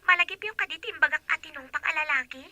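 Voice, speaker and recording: A woman's voice: high voice, rapid-fire, sharp tone, dry recording. A woman acting an inquisitive, dutiful young Filipino daughter in an Ilocano audio drama, with a Filipino accent. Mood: explaining.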